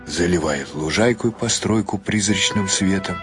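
A man narrating a dramatized literary story, expressive in his delivery. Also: low-pitched, moderate pace, over music.

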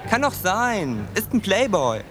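A man's voice: high voice, wildly swooping pitch, unhurried, music in the background, full character voice. Blunt, scornful, swooping-voiced man. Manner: slangy, scornful. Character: dismissive, blunt male sceptic heckling a reader. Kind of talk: naturalistic radio play dialogue.

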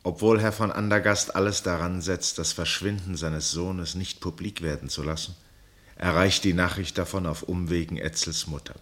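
Very deep-voiced male narrator. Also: steady tone, fairly bright tone. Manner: measured, sober, literary. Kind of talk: scripted narration for radio drama.

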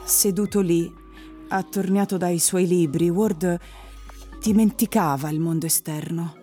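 A woman's voice: fairly low pitch, fairly even intonation, unhurried, dark tone. A woman reading scripted story narration for a radio drama. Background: music.

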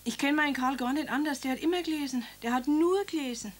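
A woman's voice: sits high, quick pace, close to an everyday voice. An actress playing an elderly grieving mother who reads her son's letter and then talks about him in homely, rambling speech. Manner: plain homely speech with tag questions. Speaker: mother of Karl. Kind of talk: radio drama acting.

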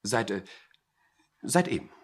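A flustered company director in a radio play, with a husky, fairly low voice. His delivery swings widely in pitch as he dithers and stammers, easily exasperated by interruptions. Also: big pitch swings, slow pace, exaggerated voice. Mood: flustered, bossy. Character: flustered, indecisive male company director, pondering his engagement. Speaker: actor.

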